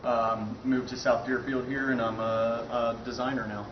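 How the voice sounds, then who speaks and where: A man introduces himself casually in a medium-pitched voice. The recording is dull and echoey, with clear noise behind him. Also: flat delivery, normal speed. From Pennsylvania, USA. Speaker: workshop participant, designer. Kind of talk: informal self-introduction.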